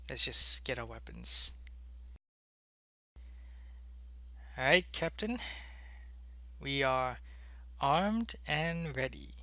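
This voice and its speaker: A man playing a devoted alien soldier in an ASMR audio roleplay, with a gravelly, earnest and deliberate delivery. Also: medium pitch, pushed and bright, no room sound, heavily acted. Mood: resolute. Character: devoted alien soldier, gruff in battle, tender with his lover.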